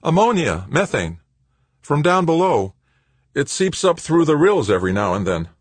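A male narrator plays a seasoned lunar explorer, gruff and rugged. His voice is pushed up and forceful, swinging widely, with a dry, close sound. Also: a little high, big pitch swings, moderate pace, no room sound, pitched above the performer's own voice. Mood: awed.

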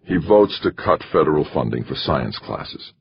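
A man voicing a big, stubborn male senate candidate who speaks in a quietly intense, muttering way. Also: very deep voice, expressive, moderate pace, creaky, breathy edge, exaggerated voice. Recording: dull lo-fi recording.

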